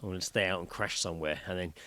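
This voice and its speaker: A man's voice: deep voice, fairly even intonation, rapid-fire, a crowd in the background. A man co-hosting a podcast in casual comic chat, rambling, swearing and joking as he goes. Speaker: podcast co-host.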